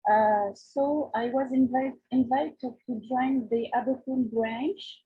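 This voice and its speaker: Slow, husky French-speaking woman, muffled call. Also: sits high, steady tone. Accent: Quebec French. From Quebec, Canada. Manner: halting, apologetic second-language delivery. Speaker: new member giving a presentation. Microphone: video call. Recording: muffled, thin video-call audio.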